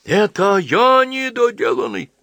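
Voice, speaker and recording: Man playing an indignant, high-pitched young American man. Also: very animated, unhurried, sharp tone, no room sound, studio quality, full character voice. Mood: outraged. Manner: blustering, pompous.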